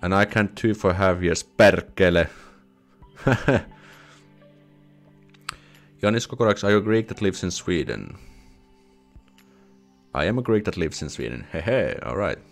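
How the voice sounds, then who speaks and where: A man's voice: low voice, expressive, unhurried, long pauses, soft background music. A young Finnish man with a Finnish accent hosting a casual live stream at home, reading viewer comments and chatting, often restarting his words and slipping into Swedish phrases. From Finland. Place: small indoor room at home. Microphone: headset with desk mic in front.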